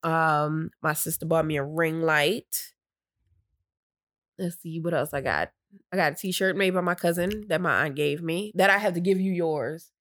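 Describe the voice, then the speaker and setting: Woman, lower voice, stuffy nose, chatty and rambling, downplays her own excitement. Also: moderate pace, no echo, studio quality. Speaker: podcast co-host. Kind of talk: casual storytelling chat.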